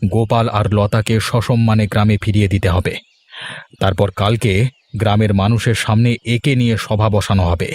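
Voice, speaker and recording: A man's voice: on the low side, fairly even intonation, normal speed, darkish tone, close to an everyday voice. A man playing a stern, tough village police officer with a commanding manner in a cartoon melodrama. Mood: harsh, commanding. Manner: commanding, authoritative.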